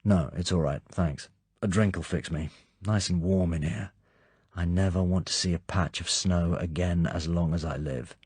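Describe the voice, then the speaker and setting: A weary, injured British agent, tired and understated, in a low husky voice. A man reads him close to the mic. The recording is dry. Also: normal speed, darkish tone, no echo.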